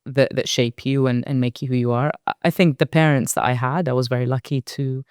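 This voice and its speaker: A woman in her forties from Iraq, a company board director, talking reflectively as a guest in an interview, measured and thoughtful with soft fillers. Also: rumbling low, steady tone, clear, resonant tone, no room sound, clean studio sound.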